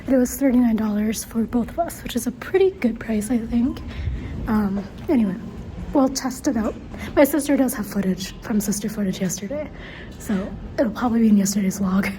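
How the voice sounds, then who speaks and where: Congested chatty woman vlogging, high voice. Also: fairly quick, mellow, slight background noise, poor audio. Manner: chatty, rambling, self-correcting asides. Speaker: travel vlogger. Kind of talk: casual vlog narration. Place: hotel and casino in Las Vegas.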